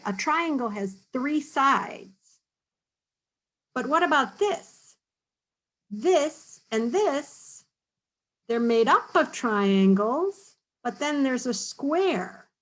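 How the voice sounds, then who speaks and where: A woman's voice: sits high, rough recording. A woman reading a picture book aloud to children, stopping to ask questions and explain in a teacherly, encouraging way. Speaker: read-aloud storyteller for children.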